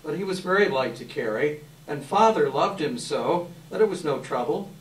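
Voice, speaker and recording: In an echoing hall, distant from the microphone, an earnest young son played by a man speaks in a fairly high male voice. He is gentle and grieving, delivered as a staged reading. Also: lively intonation, normal speed, lightly acted. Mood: gentle, wistful. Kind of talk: readers theater performance. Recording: echoey hall, far from mic.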